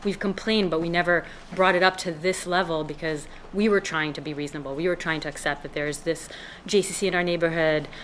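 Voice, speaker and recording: A woman's voice: lowish voice, fairly even intonation, a little fast, few pauses, a bit bright, a little hiss or hum, poor audio. A woman from Israel, a resident speaking at a town hearing, earnest and hesitant with many fillers and restarts. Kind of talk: public comment at a town hearing. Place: town meeting room.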